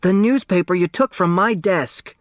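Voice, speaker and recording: A man voicing a brash, sharp-tongued little sister in an audiobook, blunt and combative. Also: high-pitched, moderate pace, no room sound, clean studio sound, pitched above the performer's own voice, close to an everyday voice. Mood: furious.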